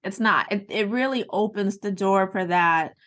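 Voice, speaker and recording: A middle-aged woman with an American accent, speaking as a podcast guest in casual, reflective, expressive conversation. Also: medium pitch, moderate pace. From United States.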